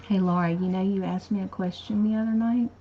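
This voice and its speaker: A woman's voice: medium pitch, steady tone, a little fast, highs cut off, a little hiss or hum. A woman co-hosting a casual livestream. She is easygoing, laughs often and reads viewer comments aloud. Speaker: co-host of a live chat show.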